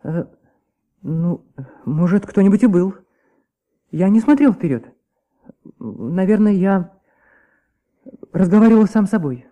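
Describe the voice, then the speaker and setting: High-voiced, hesitant schoolboy. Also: male voice, expressive, measured pace, long pauses, phone-quality sound. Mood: hesitant, shy. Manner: hesitant, stammering, repeating himself. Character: nervous young choirboy giving testimony, hesitant and earnest. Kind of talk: Soviet radio play acting.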